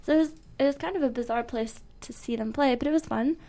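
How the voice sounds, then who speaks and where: A woman with a fairly high voice and plenty of vocal fry, co-hosting a radio show. She talks in a loose, casual, joking way, as if making it up as she goes. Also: fast, mellow. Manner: giggly, joking, loose and unscripted. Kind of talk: casual co-hosted radio chat. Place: radio studio.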